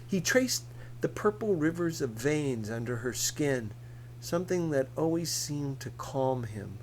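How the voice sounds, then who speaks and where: A man reading a novel aloud as scripted narration, in a measured, deliberate manner. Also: on the high side, expressive, darkish tone, slight background noise, rough recording. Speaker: audiobook reader.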